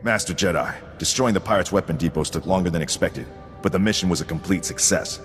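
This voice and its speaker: A man's voice: sits low, quick pace, fairly bright tone. An actor playing a stoic, dutiful male veteran sergeant in a video game, speaking in a clipped, formal military way. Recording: reverberant hall, music behind.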